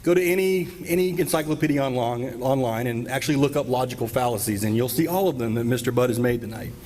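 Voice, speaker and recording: A man's voice: mid-range voice, fast talker, darkish tone. Middle-aged male debater from the US, emphatic and argumentative, podium mic in a big auditorium, reverberant. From United States. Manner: assertive, argumentative, emphatic gestures. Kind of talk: formal debate cross-examination. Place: large auditorium stage. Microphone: podium microphone. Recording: hall reverb, faint background noise.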